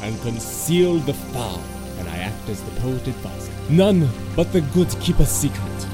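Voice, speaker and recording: A man's voice: medium pitch, sing-song, measured pace. A man playing an eager young porter with flowery, declamatory speech in an audio drama, with music under his voice. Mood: boastful, earnest. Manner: theatrical, declamatory. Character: handsome young porter, eager, flowery-tongued, tipsy charmer. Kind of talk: audio drama verse recitation.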